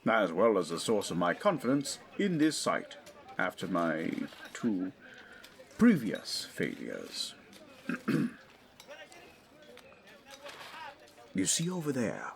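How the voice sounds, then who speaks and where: A man playing an animated, learned English archaeologist father. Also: deep voice, gravelly, exaggerated voice. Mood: measured, confident. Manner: formal, professorial, deliberate. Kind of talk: scripted audio drama acting.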